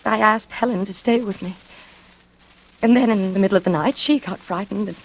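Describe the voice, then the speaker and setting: A woman's voice: mid-range voice, brisk, lightly acted, low-quality recording. An actress playing a genteel, nervous, lonely woman with wistful eagerness in a 1940s radio suspense drama. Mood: nervous, flustered. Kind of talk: 1940s radio suspense acting with confiding narration. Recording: vintage radio drama with music behind.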